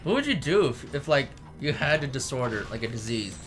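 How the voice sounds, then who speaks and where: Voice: mid-range voice, big pitch swings, a little fast, faint music behind. A gaming show co-host chatting casually and jokingly, with a giggly, goofy manner and a habit of silly sounds. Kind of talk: casual joking let's play chat.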